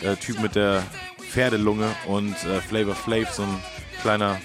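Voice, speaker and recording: A man's voice: lowish voice, level tone, a little slow. A man co-hosting a music history talk, explaining casually and colloquially and checking in with tag questions. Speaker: co-host of a hip-hop history radio feature.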